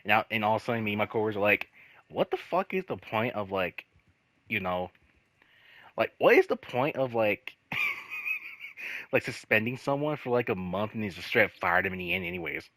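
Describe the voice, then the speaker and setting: Bright-voiced man rambling through casual stories. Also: lowish voice, wildly swooping pitch, no room sound. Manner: rambling, halting, repeats filler phrases, swears freely. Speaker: host telling personal work stories. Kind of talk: casual storytelling chat.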